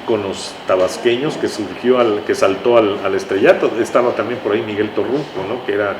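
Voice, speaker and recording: An older man with a Mexican accent, reminiscing conversationally as an interview guest, explaining things in a halting way and checking for agreement. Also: on the low side. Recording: echoey room with clear background noise.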